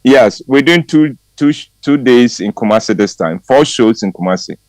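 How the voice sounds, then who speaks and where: Ghanaian guy in his 60s on morning radio, slow measured talk, lots of ums, dry close mic. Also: mid-range voice, animated, a little mellow, no room sound. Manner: measured, with hesitant ums and chuckles. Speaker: regular commentary guest. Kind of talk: warm radio chat and read commentary.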